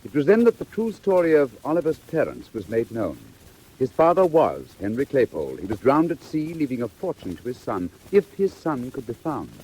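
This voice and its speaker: A man narrating a classic story in a theatrical, measured 1940s storybook style. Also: mid-range voice, huge pitch swings, warm tone, a little hiss or hum, poor audio.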